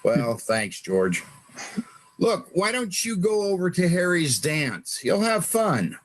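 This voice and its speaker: An actor playing a weary, earnest older small-town father, speaking gently in an old-time radio play. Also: high-pitched, sing-song, takes it slowly, exaggerated voice. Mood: gentle, resigned.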